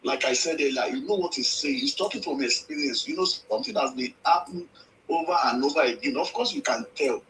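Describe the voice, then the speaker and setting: A man's voice: mid-range voice, fairly quick, a bit bright, slight background noise, rough recording. A man from Lagos with a Nigerian accent phoning in to a live show, speaking earnestly and repeating phrases for emphasis. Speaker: phone-in caller.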